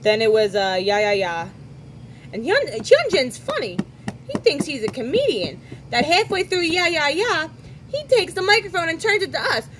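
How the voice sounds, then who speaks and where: Hoarse young woman chatting casually. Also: high-pitched, big pitch swings, a bit bright, slight background noise. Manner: chatty, with quick asides and self-corrections. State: hoarse from concert singing. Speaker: podcast host. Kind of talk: casual chat recounting a concert.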